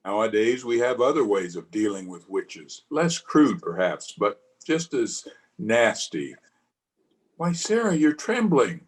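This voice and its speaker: A man's voice: fairly low pitch, very animated, unhurried. A man playing a doting, reassuring husband in an old-time radio play. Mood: dismissive, reassuring. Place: home, over a video call.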